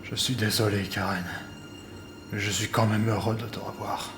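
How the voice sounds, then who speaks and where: A man playing a frail, regretful old professor in a French sci-fi audio drama, halting and short of breath. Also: on the low side, flat delivery, natural voice. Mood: weary, worried. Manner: halting, weary, emotional. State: weak, breathless, coughing. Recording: roomy echo, loud background music.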